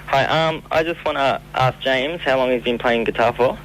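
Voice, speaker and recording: A man's voice: mid-range voice, normal speed, a bit bright, a little hiss or hum, rough recording. A man calling in to a radio show, an eager fan asking the band questions. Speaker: caller. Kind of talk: phone-in question.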